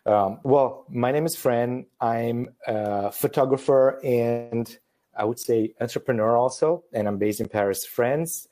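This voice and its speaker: A man's voice: mid-range voice, mellow. A man in his thirties from Romania, a photographer chatting casually as a guest, hesitant and self-deprecating with jokes. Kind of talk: casual live-stream conversation.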